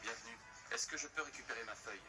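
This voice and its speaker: An actor playing a cold, sarcastic teenage rebel in a cartoon, with a curt, mocking delivery. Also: male voice, mid-range voice, fast, natural voice. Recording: music behind the voice.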